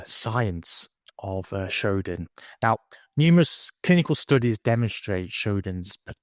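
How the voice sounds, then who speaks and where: A man moderating a webinar, posing questions in a polite, measured and slightly hesitant way. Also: on the low side, a little mellow, no room sound, studio quality.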